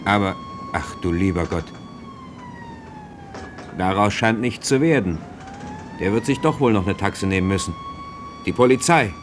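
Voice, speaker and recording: An actor as a wry storyteller, narrating a radio play vividly in the present tense. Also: male voice, lowish voice, expressive, unhurried, pauses often, background noise. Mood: vivid, amused.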